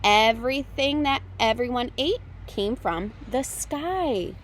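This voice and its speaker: A woman reading a children's picture book aloud as a storytime reader, with expressive, deliberate phrasing for young listeners. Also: on the high side, slight background noise.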